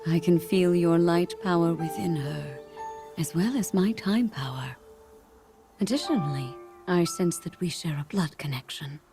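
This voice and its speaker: A woman voicing a kindly, reassuring and gently teasing queen in a video game, with a soothing manner. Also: fairly low pitch, unhurried. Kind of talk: video game cutscene voice acting. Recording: music behind the voice.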